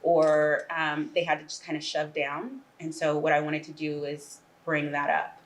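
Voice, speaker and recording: Low-voiced, earnest woman playwright. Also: flat delivery. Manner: thoughtful, earnest, measured. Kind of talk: reflective interview answers.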